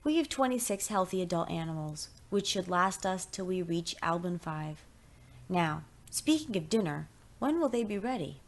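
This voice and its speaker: A woman's voice: on the low side, expressive, moderate pace. A woman narrating a pulp science fiction story in audiobook style. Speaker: story reader.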